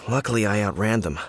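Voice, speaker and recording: A man's voice: lowish voice, a bit bright, a little hiss or hum. A man voicing a flustered, grumbling village fruit trader in an anime game, theatrical, fussy and aggrieved. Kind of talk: scripted anime game dialogue.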